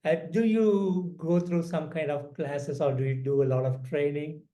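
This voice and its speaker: A man's voice: a little high, fairly even intonation, normal speed, mellow. A man interviewing a musician, asking earnest questions full of praise, with hesitant fillers.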